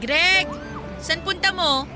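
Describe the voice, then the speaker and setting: A woman's voice: extremely high pitch, slow pace, music in the background. A woman playing a friendly, curious young woman in radio drama dialogue.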